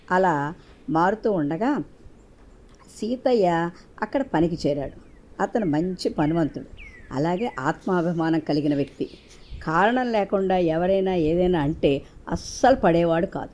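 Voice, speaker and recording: A woman's voice: fairly low pitch, dark tone. A woman narrating a scripted moral story.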